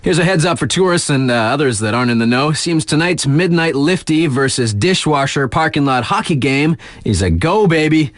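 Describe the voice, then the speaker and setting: Actor as lively, wry male radio DJ. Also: on the high side, normal speed, few pauses, dry recording, studio quality, lightly acted. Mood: wry, casual. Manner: casual, wry, mock-serious. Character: laid-back, wisecracking male mountain radio DJ. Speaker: radio DJ reading local news. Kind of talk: breezy radio news bulletin, ad-libbed asides.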